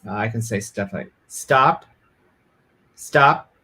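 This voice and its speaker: A guest gives a casual live demo. The voice is bright and medium in pitch, and the delivery is slow. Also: husky. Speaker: guest demonstrating a project.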